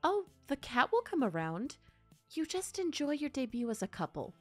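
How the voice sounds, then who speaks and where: Woman playing a teasing reassuring girlfriend, kinda high, bouncy pitch, audio drama. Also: sing-song, moderate pace, faint music behind. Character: playful, supportive girlfriend and friend in costume. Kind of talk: naturalistic audio drama acting.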